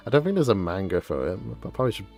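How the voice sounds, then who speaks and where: A woman's voice: extremely low pitch, sing-song, very fast, darkish tone. A woman giving casual, rambling livestream commentary on a game in an informal way. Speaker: game streamer. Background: faint game music.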